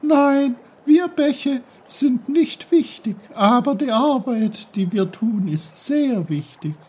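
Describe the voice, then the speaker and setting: A man voicing a chatty, cheerful brook that is quick to take offence, in a storybook reading. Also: very high voice, lively intonation, darkish tone, slightly rough, pitched above the performer's own voice, exaggerated voice. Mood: explaining. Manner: bubbly, chatty, giggling.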